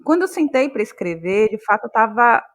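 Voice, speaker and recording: A woman's voice: fairly high pitch, big pitch swings, a little fast. A woman, reflecting on her creative process as an interview guest, searching for words and repeating them as ideas form. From Bahia, Brazil. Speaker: interviewed guest, playwright and actress.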